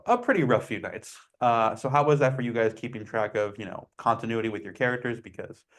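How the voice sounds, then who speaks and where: A man's voice: mid-range voice, animated, fairly quick. A man interviewing film actors, asking questions in a chatty, enthusiastic way and often using fillers.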